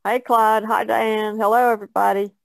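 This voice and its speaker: A woman's voice: on the high side, flat delivery, moderate pace, vocal fry, no echo. An American woman co-hosting an art podcast, talking casually. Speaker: podcast co-host and artist. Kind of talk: self-introduction and casual podcast chat.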